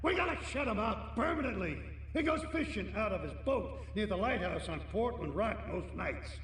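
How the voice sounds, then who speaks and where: An actor playing a jittery, corrupt middle-aged cop in a video game, gravelly, scheming and foul-tempered. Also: male voice, sits high, expressive, moderate pace, fairly bright tone. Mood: conspiratorial. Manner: agitated, conspiratorial, streetwise. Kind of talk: video game cutscene acting. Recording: roomy, distant, faint noise.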